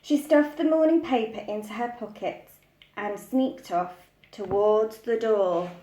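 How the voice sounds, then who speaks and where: A woman's voice: on the high side, a little slow, dark tone. A woman reading a children's story expressively, speaking simply as a preschool storyteller, in an echoey room. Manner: addresses small children simply.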